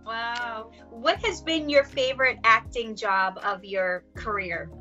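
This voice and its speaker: A woman's voice: a little high, slow pace, pushed and bright. A woman hosting a casual interview, asking chatty, friendly questions. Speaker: host interviewer. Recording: dull lo-fi sound with faint music.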